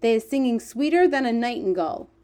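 An earnest, big-hearted giant from a children's storybook, acted out by a woman. He speaks in a raised, high-set pitch for a giant, with proud wonder and quaint, muddled grammar. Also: expressive, brisk, dark tone, lightly acted. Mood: delighted. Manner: ungrammatical, quaint invented words, wonder-filled.

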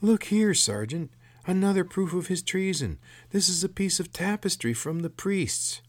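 Shrill, whining palace guard. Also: male voice, expressive, normal speed, no echo. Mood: shrill, accusing.

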